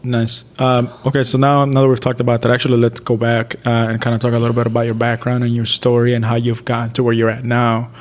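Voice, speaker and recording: A man's voice: medium pitch, monotone, quick pace, hardly any pauses. Casual male podcast host, reverberant room. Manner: informal, conversational, swears casually. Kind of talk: casual interview chat.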